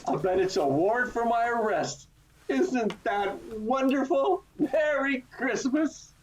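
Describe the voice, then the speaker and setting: Woman playing an emotional family man, high-pitched. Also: animated, slow, a little mellow, slight background noise. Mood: overjoyed. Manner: emotional, exclamatory. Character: George, desperate, then joyful young family man. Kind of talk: amateur radio play acting. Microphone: video call. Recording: muffled video-call audio.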